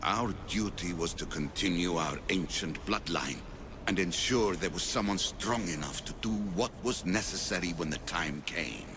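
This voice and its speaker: An actor playing an ancient, solemn male scholar in a video game, with grave, measured, oracular delivery. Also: background noise, lightly acted. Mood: stern. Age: older. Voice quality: very deep, gravelly. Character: ancient solemn male scholar, father obsessed with hidden knowledge. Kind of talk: dramatic game cutscene acting. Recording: music behind the voice.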